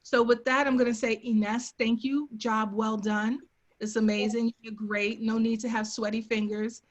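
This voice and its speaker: A woman's voice: on the high side, little pitch movement, normal speed. A woman hosting a webinar on a video call, upbeat and encouraging as she introduces the next speaker. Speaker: webinar host. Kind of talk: casual introduction.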